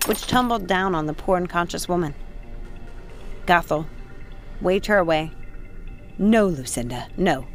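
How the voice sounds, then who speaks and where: A woman reading an audiobook as a dramatic, suspenseful narrator. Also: on the low side. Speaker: audiobook reader. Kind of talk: dramatic scripted story narration.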